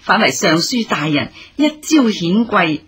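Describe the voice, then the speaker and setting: An actress playing a courteous elderly mother in a radio drama, speaking expressive Hong Kong Cantonese with a husky, deferential voice. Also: fairly low pitch, unhurried, heavily acted. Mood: humble, polite. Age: older. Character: humble, courteous elderly mother of a young scholar.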